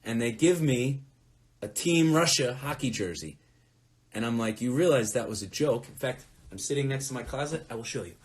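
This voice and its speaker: A man's voice: mid-range voice, animated, normal speed. A man chatting casually from home during a live fan Q&A, telling rambling anecdotes. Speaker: musician hosting a fan Q&A. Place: at home, next to a closet.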